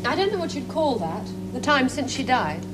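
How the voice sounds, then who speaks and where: A young female scientist who talks in a precise, analytical way, quietly earnest about her findings. Her voice sits high. A heavy hiss runs under the old TV soundtrack. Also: sing-song, normal speed. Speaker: computer programmer on a research team. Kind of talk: 1970s television drama acting.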